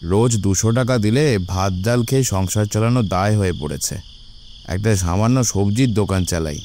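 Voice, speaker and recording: A man's voice: lowish voice, moderate pace, darkish tone, slight background noise, lightly acted. A man playing an earnest village vegetable seller in a cartoon, respectful but resolute. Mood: respectful complaint. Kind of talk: cartoon voice acting.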